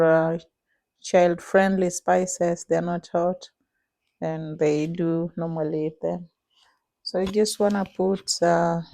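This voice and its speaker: A young woman with a Kenyan accent talking through her cooking in a casual vlog, pausing often with "uh". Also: on the low side, mellow, dry recording. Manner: unhurried, many "uh" pauses while cooking. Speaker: vlogger.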